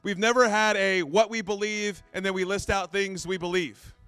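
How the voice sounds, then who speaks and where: A man's voice: high-pitched, fairly even intonation, normal speed, pushed and bright, clean tone, faint music behind. A man in his late thirties preaching in a conversational, self-deprecating way, often repeating his phrases. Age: 30s. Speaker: pastor. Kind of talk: sermon.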